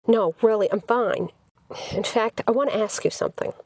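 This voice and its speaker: A woman's voice: fairly high pitch, normal speed, darkish tone, no room sound, poor audio. A woman voicing an earnest, devoted young man in an audiobook story, sincere and persuasive. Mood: reassuring.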